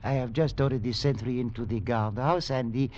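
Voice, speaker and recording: An actor playing a dutiful, deferential subordinate soldier of the Foreign Legion in a 1940s radio drama. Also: male voice, mid-range voice, steady tone, a little fast, darkish tone, natural voice. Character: dutiful subordinate legionnaire reporting to his commandant. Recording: dull, noisy 1940s radio recording.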